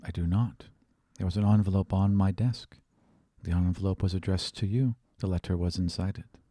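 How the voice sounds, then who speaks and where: Man playing a grave, measured female teacher, low voice. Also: fairly even intonation, dark tone, no room sound, clean studio sound. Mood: guarded, terse. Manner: measured, terse, formal phrasing without contractions. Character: grave, guarded female temple teacher, cryptic and troubled.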